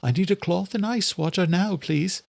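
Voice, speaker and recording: Man doing caring vampire woman, raised pitch, soft, urgent, capable, audiobook character, dry sound. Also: moderate pace, vocal fry, no echo.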